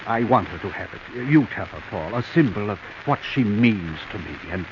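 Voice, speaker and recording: An actor playing a gullible, lovelorn wealthy man, halting and flustered, in a 1940s radio drama. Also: lowish voice, lively intonation, lightly acted. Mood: sheepish, resigned. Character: hesitant, lovelorn, gullible wealthy male collector. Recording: hissy, muffled vintage radio recording.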